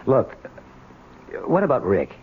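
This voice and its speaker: A man's voice: medium pitch, huge pitch swings, husky, exaggerated voice. Anxious, bitter father on tinny radio. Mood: cautious, probing. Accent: American. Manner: stammering, halting, agitated. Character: embittered, desperate middle-aged father, suspicious and anxious. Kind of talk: 1970s radio drama acting. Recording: tinny narrow-band old radio, background hiss.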